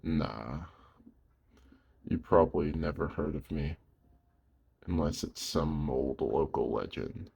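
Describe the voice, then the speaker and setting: Old lone werewolf, played by a man: rock-bottom pitch, heavily raspy, weary, protective and tender under the gruffness, close ASMR audio drama. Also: heavily acted. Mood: wry, reserved. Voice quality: very deep, husky, gravelly. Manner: slow, hushed, with long pauses. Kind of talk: intimate ASMR audio roleplay.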